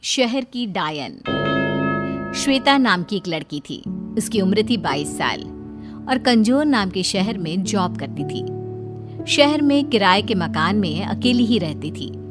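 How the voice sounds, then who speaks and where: A woman's voice: medium pitch, big pitch swings, a little slow. A woman reading scripted story narration, with music behind her voice.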